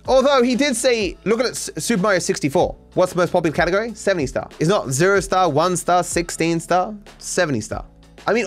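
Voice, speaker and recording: A man in his thirties with a slightly high voice, reacting to viewer comments from his home streaming setup. He rambles and swears freely, and soft music plays under him. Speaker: speedrunning streamer. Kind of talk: casual commentary reading viewer takes. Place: home streaming room, indoors.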